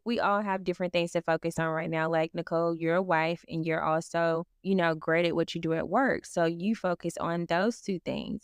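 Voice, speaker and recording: Supportive woman co-host, low-ish smooth voice, reflective delivery. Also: no echo, studio quality. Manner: encouraging, reflective. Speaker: podcast co-host. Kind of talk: casual chat.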